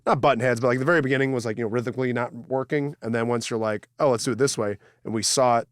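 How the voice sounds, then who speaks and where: A man's voice: mid-range voice, steady tone, rapid-fire, no room sound, studio quality. Congested man rambling casually. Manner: rambling, stammers and restarts often. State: congested from a cold. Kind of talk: casual podcast conversation.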